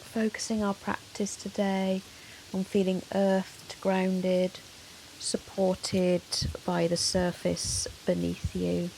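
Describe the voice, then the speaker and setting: A woman yoga teacher leading a guided meditation in a soothing, measured, gentle manner with long pauses. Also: mid-range voice, completely flat delivery, vocal fry, rough recording. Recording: soft hiss of rain noise. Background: soft rain sound.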